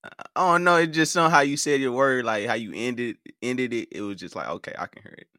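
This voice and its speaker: A man's voice: mid-range voice, quick pace, bright, forceful tone, no room sound, studio quality. An American man hosting a live call-in show in a casual, hesitant way, often repeating his words. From United States. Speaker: podcast game show host.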